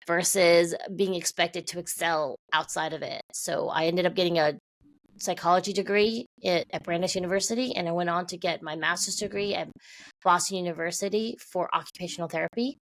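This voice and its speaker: A woman in her fifties, born in Taiwan, telling her life story as an interview guest, animated and quick to laugh. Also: fairly low pitch. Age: 50s. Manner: animated, laughs often, acts out quoted voices.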